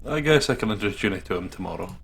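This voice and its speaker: A man's voice: lowish voice, expressive, racing, vocal fry, a bit bright, husky. A man co-hosting a gaming video, reading story text aloud and adding jokey, playful commentary. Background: game soundtrack music.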